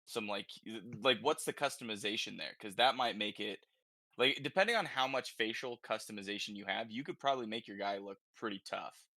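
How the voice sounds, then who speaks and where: A man with a bright, forceful voice hosts a casual gaming podcast. He talks informally, working out his thoughts as he speaks. The sound is very dry, with no room around it. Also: lowish voice, brisk, no echo. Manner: informal, conversational, thinking aloud. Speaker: podcast host.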